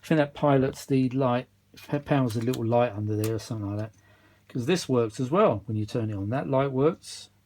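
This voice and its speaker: A man's voice: mid-range voice, brisk, dark tone. Rambling man, casual and mildly weary. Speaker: vintage audio repair vlogger. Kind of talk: casual unscripted workshop chat.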